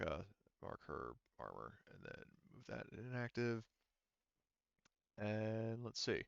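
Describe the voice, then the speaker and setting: A man's voice: fairly low pitch, gravelly, fairly bright tone, clear, resonant tone, no echo. A man speaking casually and profanely as game master of a tabletop role-playing game, in slightly muffled audio.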